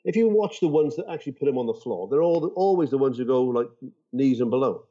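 A man's voice: medium pitch, expressive, fast, mellow, slightly rough, dull audio. A man chatting casually about sport as a podcast guest, in an informal, blokey and opinionated way. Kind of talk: casual rugby chat.

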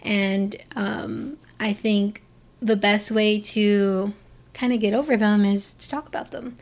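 Young florida woman vlogging, rambly and chatty, lots of likes, medium pitch. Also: steady tone, takes it slowly. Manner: chatty, rambling, many "like" fillers and restarts. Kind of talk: casual personal talk to camera.

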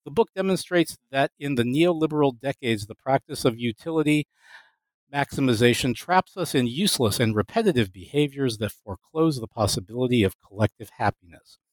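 A man hosting an academic book podcast, reading a formal introduction and asking measured questions. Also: medium pitch, animated, no echo, low-quality recording. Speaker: podcast host. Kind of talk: scripted introduction and interview questions.

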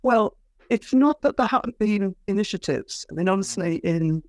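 A woman's voice: medium pitch, animated, moderate pace, darkish tone, no echo. A woman, an author being interviewed, who answers thoughtfully and hesitantly with many fillers and restarts. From Britain. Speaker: author and guest.

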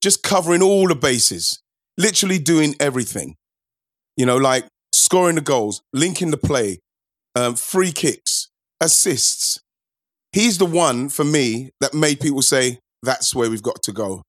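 Relaxed male football podcast host. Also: medium pitch, unhurried, no echo, studio quality. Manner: laid-back, affectionate, lots of "yes" and "nice one". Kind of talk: casual football chat.

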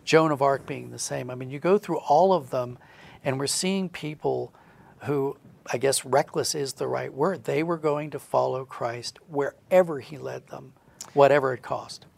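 Male interview guest, mid-pitched with noticeable creak, reflective storyteller explaining his thoughts. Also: normal speed. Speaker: guest storyteller, audio drama writer. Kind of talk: conversational interview talk.

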